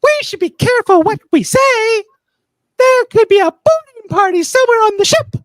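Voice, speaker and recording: A woman's voice: extremely high pitch, expressive, moderate pace, no room sound, poor audio. A woman playing an impatient, combative starship officer in an amateur sci-fi radio play. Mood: wary.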